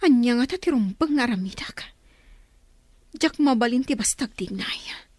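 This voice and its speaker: An actress playing an anxious, gentle village woman in an Ilocano radio drama, pleading in a worried, often hushed way with an Ilocano accent. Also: fairly high pitch, animated, moderate pace, dry recording. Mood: distressed.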